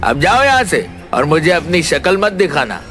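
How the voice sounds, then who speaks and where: Cartoon villain: rich village bully, menacing and domineering, raised pitch, clear background music. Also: male voice, big pitch swings, moderate pace. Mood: angry, threatening. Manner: threatening, domineering. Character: bullying, menacing male village sweet-shop tycoon villain. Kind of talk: Hindi cartoon story dialogue. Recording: music behind the voice.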